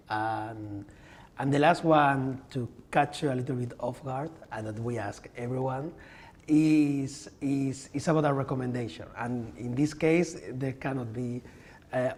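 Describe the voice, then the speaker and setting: A man's voice: medium pitch, darkish tone. A man hosting a podcast interview, often nodding along with short affirmations. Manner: brief affirming backchannels. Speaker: podcast host. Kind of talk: conversational interview.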